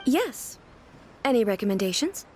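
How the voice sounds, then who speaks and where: A woman's voice: on the high side, very animated, normal speed, a little mellow, slight background noise. A woman voicing a dignified, earnest female ruler in an anime game, speaking formally and precisely with a curious, literal-minded air. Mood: calm. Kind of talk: anime game dialogue acting.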